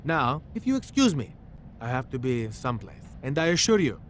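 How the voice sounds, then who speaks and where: An actor playing a vain, boastful comic wrestler with a pompous manner in a game cutscene. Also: male voice, mid-range voice, big pitch swings, moderate pace, a little hiss or hum, close to an everyday voice. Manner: pompous, refers to himself in third person. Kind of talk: video game cutscene interview.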